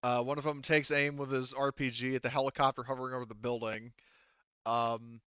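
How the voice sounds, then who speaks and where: A man acting as game master, narrating play and rules casually in a halting, thinking-aloud way. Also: mid-range voice, flat delivery, a bit bright, clean tone, no room sound, lo-fi sound.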